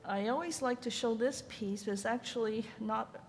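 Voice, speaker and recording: A woman's voice: fairly high pitch, normal speed. Older woman, from Hong Kong, native Chinese speaker, chatty panel talk, long hall reverb. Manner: candid, chatty, laughs easily. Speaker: ceramic artist presenting at a panel. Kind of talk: conference talk. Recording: reverberant hall with faint noise.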